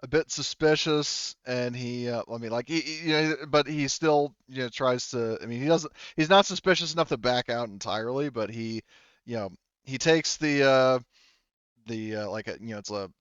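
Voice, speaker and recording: A man with a clear, smooth voice runs a tabletop roleplaying game. He improvises the narration haltingly, thinking aloud as he goes. The sound is dry and a little dull. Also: medium pitch, a bit bright, no echo. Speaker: game master. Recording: slightly dull, band-limited audio.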